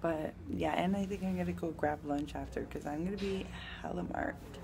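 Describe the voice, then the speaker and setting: A woman's voice: low-pitched, moderate pace, gravelly. A young woman vlogging casually on a phone held at arm's length, talking to her viewers with slang at home and at the gym. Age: young adult. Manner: chatty, slangy, talks to viewers as she goes. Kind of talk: casual vlog chat. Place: home, then a gym indoors.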